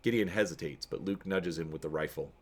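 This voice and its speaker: A man giving a dramatic narrated reading of an adventure story, expressive in his storytelling. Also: deep voice, brisk. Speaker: reader and host of a gamebook read-through.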